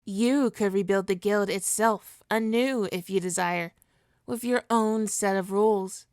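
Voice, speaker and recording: A woman playing a suave supervillain hypnotist in an ASMR roleplay, coaxing and possessive, with a soothing, deliberate, mock-polite manner. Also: mid-range voice, darkish tone, smooth, dry recording, clean studio sound, natural voice. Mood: seductive, coaxing.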